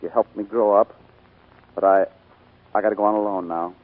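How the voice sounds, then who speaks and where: An actor playing an earnest, proud young small-town songwriter in 1940s radio style. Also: male voice, on the low side, fairly even intonation, fairly quick, close to an everyday voice. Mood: earnest, regretful. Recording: tinny scratchy 1940s radio broadcast.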